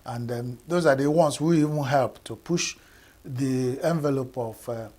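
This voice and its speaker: A man with a Nigerian accent and Igbo as his first language, giving measured political commentary with frequent pauses and fillers. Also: mid-range voice, a little mellow. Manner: measured, halting, many fillers and restarts.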